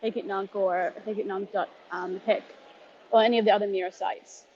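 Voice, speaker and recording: A woman's voice: mid-range voice, fairly even intonation, a little fast. A woman chatting casually and informally as an interview co-host, emphatic, on lo-fi online audio with some noise. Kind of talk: casual chat. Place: live online audio group chat.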